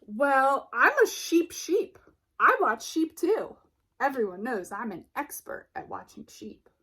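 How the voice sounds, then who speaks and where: A woman's voice: sits high, sing-song, slow, pushed and bright, slightly rough, full character voice. A woman voicing a bubbly, self-assured female sheep in a picture book, chirpy and eager. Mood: confident. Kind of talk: picture book read-aloud.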